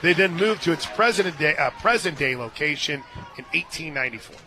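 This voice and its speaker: High-voiced American softball play-by-play man, crowd behind. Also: animated, fairly quick. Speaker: play-by-play announcer. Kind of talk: live softball radio commentary with casual banter. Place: stadium radio booth. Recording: outdoor stadium crowd noise behind broadcast.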